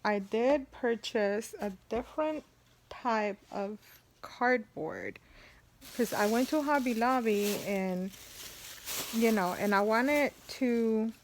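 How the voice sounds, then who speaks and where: A woman's voice: fairly high pitch, slight background noise. A woman in her forties, a native Spanish speaker, chatting casually in a rambling vlog about her sewing tools, with many restarts along the way. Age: 40s. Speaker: sewing vlogger.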